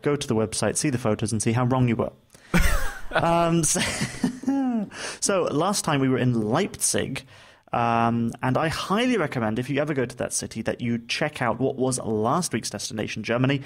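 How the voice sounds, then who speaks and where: A man's voice: mid-range voice, lively intonation, moderate pace. A man co-hosting a podcast in casual chat, joking and laughing easily. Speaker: podcast co-host.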